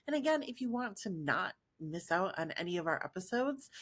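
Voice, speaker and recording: A woman podcast host delivering scripted narration in an earnest way, with short dramatic phrases and emphatic pauses. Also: lowish voice, very animated, a bit bright, slightly rough, no room sound.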